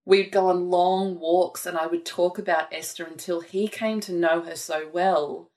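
A woman's voice: fairly low pitch, steady tone, measured pace, darkish tone. A woman author talking thoughtfully and earnestly about her writing in a conversation. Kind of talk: reflective conversation.

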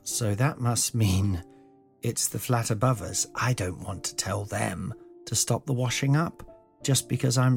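A man hosting a solo podcast in a room of an old house, slightly croaky, talking in a meandering, self-deprecating way with some swearing, into a mic without a pop shield. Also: medium pitch. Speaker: podcast host. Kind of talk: rambling solo podcast monologue. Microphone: home podcast mic without a pop shield.

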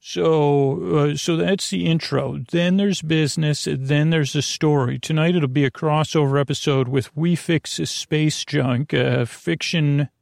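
A man hosting a bedtime podcast in a gravelly, creaky voice, rambling haltingly, trailing off and circling back. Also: fairly high pitch, darkish tone, dry recording, studio quality. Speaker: podcast host.

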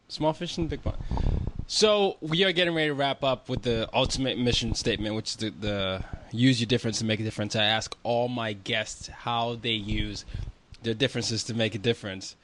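A man hosts a podcast interview with an enthusiastic, encouraging manner. He asks his questions warmly and reacts with eager praise. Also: lowish voice, a little hiss or hum. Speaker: podcast host.

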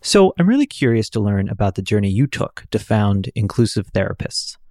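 A man's voice: on the low side, very animated, a little fast, darkish tone, no echo, studio quality. A man co-hosting a podcast, asking curious, long multi-part questions. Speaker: podcast co-host. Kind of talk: interview questions.

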